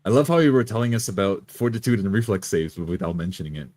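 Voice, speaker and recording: Fast, animated man, tabletop player. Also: lowish voice. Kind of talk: casual chat about a tabletop game.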